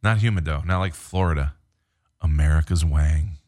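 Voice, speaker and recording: A man with a low, deep voice chats as a podcast guest. He jokes in a deadpan way and riffs on silly ideas in casual conversation. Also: normal speed. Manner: deadpan, joking. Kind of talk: casual chat.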